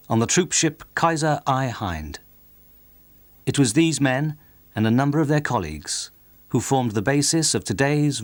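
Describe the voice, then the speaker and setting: A man's voice: medium pitch, no room sound. A man narrating a historical documentary from a script, as its presenter.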